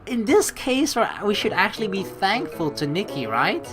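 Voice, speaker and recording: A woman's voice: medium pitch, sing-song, moderate pace. A woman doing casual, playful gaming commentary while reading a story game's narration aloud. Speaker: let's play streamer. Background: game music.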